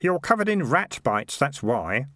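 A man's voice: mid-range voice, sing-song, unhurried, fairly bright tone, no echo, clean studio sound, pitched above the performer's own voice. A man voicing an earnest, worried and slightly hesitant boy in a storybook.

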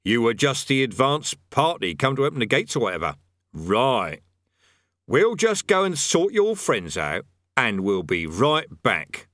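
A man voicing a smug, rough-spoken army sergeant who gloats with cheerful menace. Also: mid-range voice, sing-song, unhurried, a bit bright, no echo, clean studio sound. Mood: triumphant. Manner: coarse colloquial speech, gloating, cheerful menace.

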